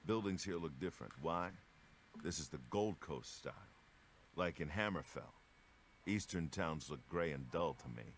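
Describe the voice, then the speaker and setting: Deep-voiced fantasy innkeeper. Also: male voice, lively intonation, moderate pace. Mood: businesslike. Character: male innkeeper in a fantasy game, matter-of-fact. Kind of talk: video game character dialogue. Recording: game audio with background noise.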